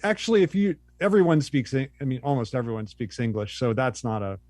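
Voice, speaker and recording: A middle-aged man chats as a podcast guest, easygoing and self-deprecating, working his thoughts out as he goes. His pitch sits in the ordinary middle range for a man. The recording is dry, with no room sound. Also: fairly quick, dry recording. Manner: relaxed, self-deprecating, thinking aloud. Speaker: guest academic. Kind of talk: casual interview chat.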